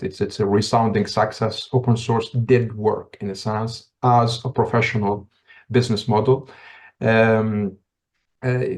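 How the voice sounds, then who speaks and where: A middle-aged man from Tunisia, a podcast guest telling his story in a reflective, halting way, with many restarts. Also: mid-range voice, darkish tone. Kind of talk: casual interview talk.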